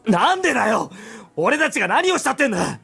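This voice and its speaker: Raspy, indignant, rapid-fire schoolboy. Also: male voice, extremely high pitch, big pitch swings, bright, forceful tone, soft background music, exaggerated voice. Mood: indignant, scared. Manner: rough, protesting. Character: indignant, frightened teenage schoolboy. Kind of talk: Japanese visual novel voice acting.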